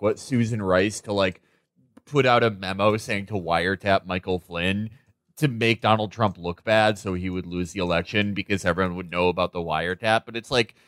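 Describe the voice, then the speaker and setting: A man's voice: on the low side, fairly bright tone, clear, resonant tone, no room sound. Ranting American man on a podcast, dry sound. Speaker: podcast co-host. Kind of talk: casual political comedy chat.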